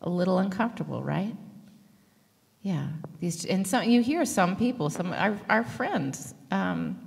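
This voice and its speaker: A woman pastor in her thirties delivers a lively, joking sermon into a handheld microphone. Her voice sits at an ordinary middle pitch for a woman. The sound carries the long reverb of a church sanctuary. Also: a little slow, vocal fry. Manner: lively, gestures broadly, jokes and laughs with the congregation.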